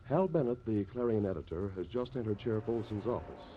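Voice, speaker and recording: A man's voice: lowish voice, animated, brisk. A male announcer narrating a 1950s radio drama in a scripted style. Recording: dull, hissy vintage radio recording.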